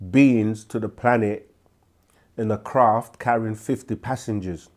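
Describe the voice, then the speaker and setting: A man hosting a live question-and-answer stream, speaking with a sore throat and a congested voice, deliberate and full of restarts. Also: fairly low pitch, a little mellow. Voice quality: slow, deliberate delivery with frequent restarts. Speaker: host answering viewer questions. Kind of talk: livestream Q&A teaching.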